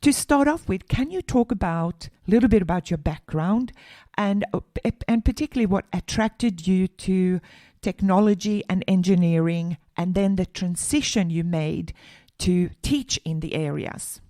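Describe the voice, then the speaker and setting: A woman hosts the interview, putting her questions politely and at a measured pace. Her voice is lowish and warm, recorded close and dry, with faint music beneath it. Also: dry recording. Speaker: interviewer, host.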